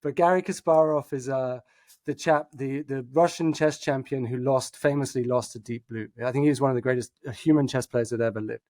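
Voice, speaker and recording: A man's voice: mid-range voice, fairly even intonation, a little fast, a little mellow. A man speaking as a guest in a podcast interview, who explains his views earnestly and thoughtfully with frequent fillers and restarts. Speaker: guest, innovation executive. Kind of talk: casual interview chat.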